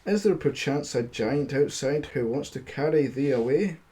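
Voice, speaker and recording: A man voicing a stern, fatherly storybook king with measured, archaic speech. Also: mid-range voice, lively intonation, warm tone, lightly acted. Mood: concerned.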